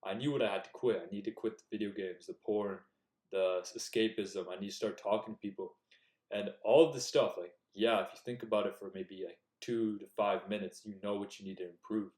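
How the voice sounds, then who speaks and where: A man's voice: on the low side, fairly even intonation, fairly quick, darkish tone. Earnest young man, echoey room. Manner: earnest, direct, coaching tone. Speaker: self-improvement YouTuber. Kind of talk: casual motivational talk to camera.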